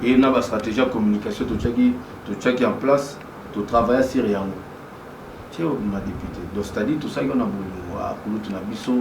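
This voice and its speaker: Halting man, far and echoey. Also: on the low side, big pitch swings. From DR Congo. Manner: halting, mixes French and Lingala. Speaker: guest. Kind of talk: casual interview talk. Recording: echoey room, far mic, noisy.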